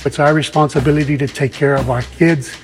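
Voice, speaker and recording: A man from Samoa speaks as a career advisor in a personal interview style. His voice sits fairly high and he sounds earnest and avuncular. Drum-heavy music plays clearly behind him. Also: steady tone, a little fast. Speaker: career advisor for college athletes. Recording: music under the voice.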